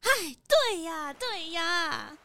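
A woman's voice: very high voice, lively intonation, drawn-out words, pushed and bright, dry recording, clean studio sound, full character voice. A woman playing a huffy, spoiled, pouty young cruise guest in a children's audio drama. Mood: whiny. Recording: music faintly behind.

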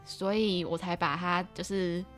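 A woman's voice: fairly low pitch, fairly even intonation, unhurried, fairly bright tone, faint music behind. A young adult woman with a Taiwanese Mandarin accent, hosting a casual podcast interview and telling personal stories reflectively. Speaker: podcast host and radio drama writer.

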